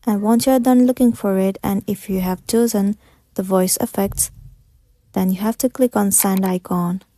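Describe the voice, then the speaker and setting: A woman's voice: medium pitch, steady tone, normal speed, warm tone, dry recording, studio quality. A woman giving scripted step-by-step tutorial narration in a methodical, instructional manner.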